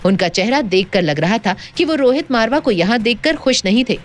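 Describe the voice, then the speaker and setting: A woman's voice: fairly high pitch, lively intonation, fairly quick. A woman narrating an audio drama in a scripted, dramatic storytelling style. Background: background music.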